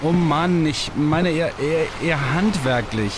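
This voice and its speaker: A man's voice: on the high side, lively intonation, moderate pace, background noise, natural voice. A man playing an awkward, nervous young stage mentalist in a science-fiction radio play, stammering and hesitant. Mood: flustered. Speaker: voice actor in an audio drama.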